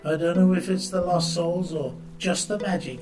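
A friendly old wanderer in a storybook, put on by a male narrator, pitched on the high side. He talks in a genial, knowing and mysterious way, with soft music underneath. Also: moderate pace, echoey room, far from the mic, natural voice. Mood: ominous, knowing. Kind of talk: audiobook character acting.

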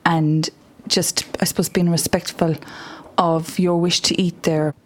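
A woman's voice: on the low side, level tone, measured pace. A woman speaking as a friend in reflective interview talk, hesitant and searching for words.

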